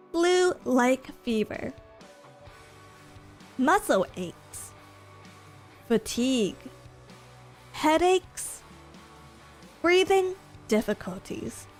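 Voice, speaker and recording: A young woman streamer in casual chat with viewers, sniffly from allergies, rambling with lots of fillers and giggles. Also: high-pitched.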